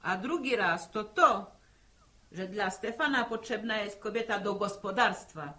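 A woman's voice: mid-range voice, unhurried, pushed and bright. An actress playing a blunt, practical village mother, grumbling in earthy, folksy talk in a 1960s radio drama. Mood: scolding. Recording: 1960 archive radio drama recording.

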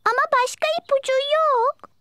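A young performer voicing a chatty, excitable little girl piglet in a cartoon. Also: very high-pitched, moderate pace. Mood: excited. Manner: childlike, excitable. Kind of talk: cartoon dialogue. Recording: music behind the voice.

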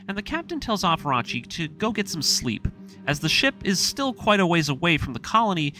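A man's voice: fairly high pitch, sharp tone. A man hosting a scripted story breakdown, speaking in an informal, opinionated and emphatic way with casual asides. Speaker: video essay host. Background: background music.

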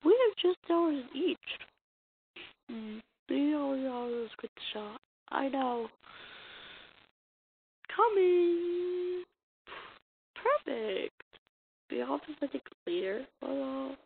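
A woman reading a comic dub as an amateur voice actor, acting out the dialogue in a halting, stumbling way on a muffled, noisy recording. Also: sits high, animated, creaky. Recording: muffled lo-fi recording with noise and clicks.

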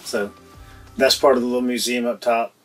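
A man's voice: medium pitch, moderate pace, soft background music. A man in his thirties, a travel vlogger, chatting casually about a road trip with fillers and restarts. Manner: relaxed storytelling with fillers and restarts. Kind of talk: casual chat. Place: RV interior, then outdoors at a campground.

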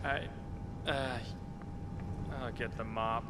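An actor voicing a hospitable, earnest survivor who runs a refuge in a zombie video game, speaking in a measured way. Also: male voice, mid-range voice, fairly even intonation, very noisy. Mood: grim. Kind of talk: video game dialogue acting. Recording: game music behind the voice.

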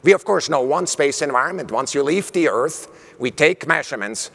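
A middle-aged man with a Swiss German accent, giving an animated keynote talk on a conference stage with a lapel mic. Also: fairly high pitch, moderate pace, gravelly. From Switzerland. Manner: animated, gesturing while speaking on stage. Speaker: keynote speaker. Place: large conference hall stage. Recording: long hall reverb.

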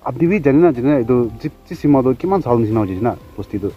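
A man's voice: mid-range voice, expressive, very fast. A man from Nagaland, India, chatting casually and inquisitively as a vlogger interviewing villagers, often acknowledging what he hears. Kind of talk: casual chat. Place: outdoors on a village road. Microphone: handheld camera, behind it. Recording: dull outdoor camera audio, loud ambient noise.

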